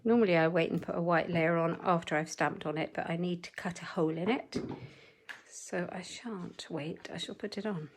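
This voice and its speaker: A woman demonstrating card-making in a chatty, step-by-step way, her voice a little croaky. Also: fairly low pitch, fairly even intonation. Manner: chatty, measured step-by-step instructions. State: slightly croaky voice. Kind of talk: live crafting tutorial.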